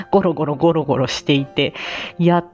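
Female live-stream host, low voice, halting and modest chatty delivery, dull lo-fi sound. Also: a little mellow. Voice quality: mature, low-set voice. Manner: chuckles often between phrases. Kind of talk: casual rambling solo chat. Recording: dull lo-fi audio, faint music behind.

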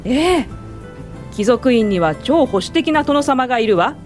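A woman's voice: high-pitched, expressive, measured pace, faint music behind. A woman acting in a Japanese radio drama as a shrewd, confident suffragist, persuasive and theatrical in delivery.

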